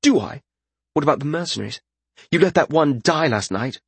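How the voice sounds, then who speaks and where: A man's voice: fairly high pitch, animated, brisk, creaky, breathy edge, dry recording, pitched above the performer's own voice, exaggerated voice. A man voicing a haughty young lord in a fantasy audiobook, with a formal, scolding and fretful manner. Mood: accusing. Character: indignant, haughty young lord, male, quick to accuse. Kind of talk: audiobook fantasy character acting.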